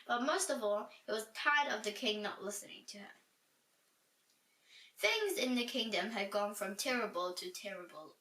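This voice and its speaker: A woman reading a children's storybook aloud in an animated, dramatic and expressive way. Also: medium pitch. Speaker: storybook reader. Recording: roomy echo, distant from mic.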